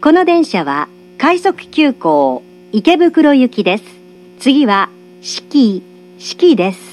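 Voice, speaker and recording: High, slow woman train announcer. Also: slight background noise. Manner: formal, polite, carefully enunciated. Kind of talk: scripted recorded train announcement. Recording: humming train-carriage speaker recording.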